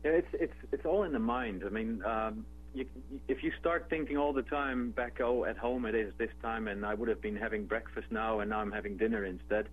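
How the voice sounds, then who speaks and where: A man from the Netherlands with a Dutch accent, speaking as a guest in a radio interview in a relaxed, conversational way with fillers and restarts. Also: on the low side, brisk. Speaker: guest, travel company executive. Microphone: telephone. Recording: tinny phone line with faint hum.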